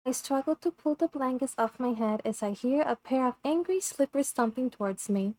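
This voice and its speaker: A woman reading a visual novel script aloud in an expressive, dramatic way over game music. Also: sits high, normal speed, dry recording.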